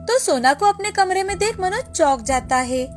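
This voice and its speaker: High-pitched woman storyteller. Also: lively intonation, moderate pace, faint music behind. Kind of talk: scripted narration of moral tales.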